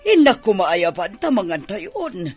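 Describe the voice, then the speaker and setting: A woman playing an anxious middle-aged mother and wife with a husky voice, in an Ilocano radio drama. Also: mid-range voice, sing-song, a little slow, a little mellow, faint music behind. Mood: worried.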